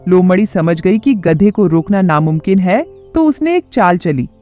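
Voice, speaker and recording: A narrator telling a children's moral story in a lively storytelling way. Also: mid-range voice, unhurried, dull audio, faint music behind.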